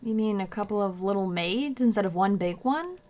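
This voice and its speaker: A woman's voice: mid-range voice, expressive, a little fast, darkish tone, close to an everyday voice. A woman playing a playful, nagging housewife in a 1940s-style radio comedy, with teasing, pointed delivery. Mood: puzzled.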